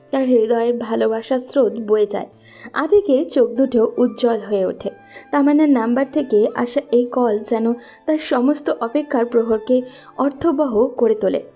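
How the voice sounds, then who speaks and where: A woman's voice: fairly high pitch, dark tone. A woman narrating a scripted romantic story in a storyteller's manner. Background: faint background music.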